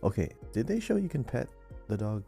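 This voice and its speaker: A man's voice: mid-range voice, sing-song, brisk, gravelly, mellow, soft background music. A man co-hosting a casual gaming podcast, chatting and reading listener messages with chatty asides. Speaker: podcast co-host.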